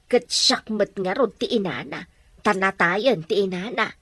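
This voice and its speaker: A woman's voice: medium pitch, a little slow, a bit bright, dry recording. A woman playing a principled older sister in an audio drama, protesting and scolding. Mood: uneasy. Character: principled older sister refusing a dishonest scheme. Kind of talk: amateur audio drama acting.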